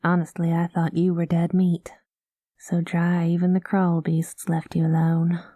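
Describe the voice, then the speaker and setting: A woman's voice: on the low side, completely flat delivery, measured pace, no room sound, studio quality. Woman playing a folksy space cowgirl pilot. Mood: easygoing. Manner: folksy drawling cowboy talk, calls listener partner; gentle and soothing. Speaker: ASMR roleplay performer. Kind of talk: ASMR roleplay.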